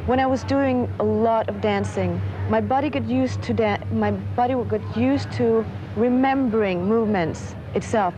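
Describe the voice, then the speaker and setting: A woman's voice: a little high, poor audio. A woman, a dancer, speaking reflectively as if in an interview. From Norway. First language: Norwegian. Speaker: dancer and model.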